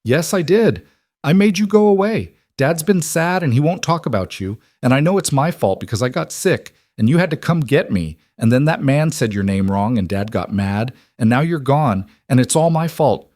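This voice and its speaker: Male narrator as a tearful seven-year-old girl in a storybook drama, pleading, raised in pitch. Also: animated, normal speed, a little mellow, no echo, clean studio sound, natural voice. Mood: upset, pleading. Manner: small, broken, tearful speech.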